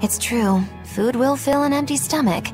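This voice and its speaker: A woman's voice: sits high, moderate pace, music in the background, natural voice. A woman playing a flippant, teasing witch in a video game, theatrical and sardonic. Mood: wry. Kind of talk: English game voice acting.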